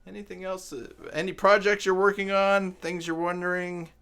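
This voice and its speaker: A man's voice: high voice, level tone, moderate pace, pushed and bright, smooth. A middle-aged man hosting a casual live stream, rambling and thinking aloud, often repeating himself. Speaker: live stream host, web tutorial maker.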